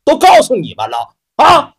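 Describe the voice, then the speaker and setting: A man's voice: very high voice, huge pitch swings, normal speed, husky, pitched above the performer's own voice, full character voice. A man voicing an arrogant, thuggish young male hotel manager who barks orders. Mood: contemptuous. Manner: barking, bossy, shouted orders.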